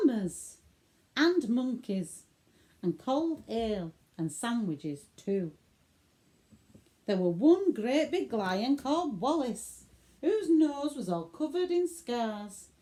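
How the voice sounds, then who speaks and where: A woman with a Northern English accent tells a bedtime story in verse. Her voice is fairly high and soft-edged, with lively swoops. She takes her time and delivers it theatrically for children. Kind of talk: expressive bedtime storytelling, recited comic verse.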